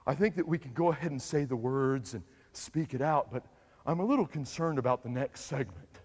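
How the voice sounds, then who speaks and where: A man's voice: mid-range voice, a little fast, darkish tone, breathy edge, long reverb tail, natural voice. A man voicing an eager, slangy surfer-dude leader of Israelite soldiers, rambling along in a comedic Bible sketch. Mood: instructing.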